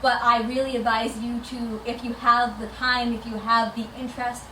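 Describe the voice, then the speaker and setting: Higher-voiced woman presenting in an echoey room. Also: level tone, moderate pace, bright, forceful tone. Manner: enthusiastic, conversational. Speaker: chapter director presenting. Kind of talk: informal presentation to a meetup. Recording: echoey noisy room, distant microphone.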